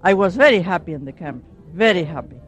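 A high-voiced man gives short interview answers in halting phrases, pausing often and filling the gaps. Faint music plays behind him. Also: lively intonation. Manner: halting, with many pauses and fillers.

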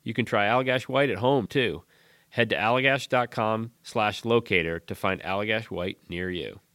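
A man's voice: on the low side, normal speed, a bit bright, no echo, studio quality. A man presenting a scripted advert read.

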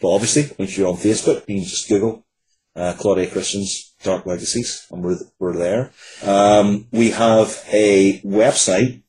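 A man in his forties giving earnest, halting answers as a writer being interviewed. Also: on the low side, fairly even intonation, darkish tone, husky, poor audio. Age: 40s.

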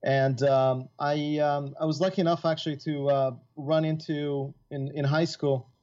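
A man's voice: a little high, level tone, unhurried, warm tone. Man, from Italy, middle-aged, rambling with fillers, dull lo-fi recording. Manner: rambling, many fillers and restarts. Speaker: guest composer. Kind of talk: casual interview chat. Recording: dull lo-fi sound.

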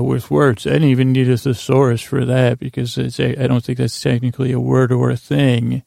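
A man hosting a podcast, rambling in a halting, self-deprecating, whimsical monologue that keeps wandering off topic, with a gravelly, creaky voice. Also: medium pitch, warm tone, no echo, studio quality. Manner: meandering, halting, goes off topic mid-sentence. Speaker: podcast host.

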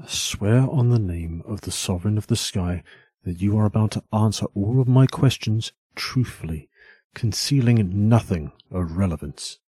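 A man playing a stern but caring priest and father in an audio drama, speaking with grave, measured authority. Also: on the low side, warm tone, breathy edge, no room sound. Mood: stern, formal.

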